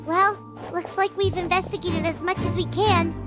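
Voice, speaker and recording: A woman voicing a tiny, eager childlike fairy companion with a squeaky voice in a video game, with music behind. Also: steady tone, moderate pace. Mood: eager, helpful. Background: jingly, childlike game music.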